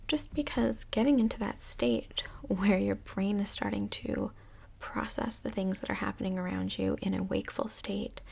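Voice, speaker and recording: A woman acting as a calm female counsellor from Hell in a soft-spoken ASMR role play. Her voice is breathy and half-whispered, with heavy vocal fry. She picks her words gently and tactfully, pausing as she goes. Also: mid-range voice. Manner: gentle, hesitant, diplomatic therapist talk.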